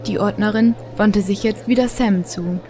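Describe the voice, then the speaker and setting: A woman's voice: mid-range voice, moderate pace, mellow, soft background music. A woman narrating a scripted story as the storyteller of an audio drama. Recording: faint background ambience.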